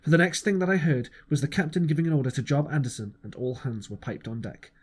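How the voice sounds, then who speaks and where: A man's voice: fairly high pitch, fast talker, breathy edge. A man narrating a first-person audiobook, an amateur reader who now and then stumbles over words.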